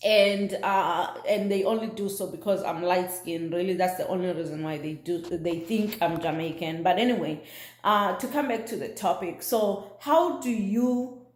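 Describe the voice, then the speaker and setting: Give me a South African woman, mid pitch, chatting casually to her viewers in an echoey room. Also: a little mellow. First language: Xhosa. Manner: chatty, with many fillers and restarts. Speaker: vlogger. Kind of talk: casual talk to camera. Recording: roomy echo.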